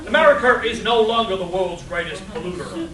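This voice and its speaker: A man's voice: high voice, expressive, fairly quick, crowd noise. A man playing an earnest, preachy superhero in an amateur comedy radio play, declaiming theatrically. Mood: righteous. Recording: roomy, distant live convention recording with noise.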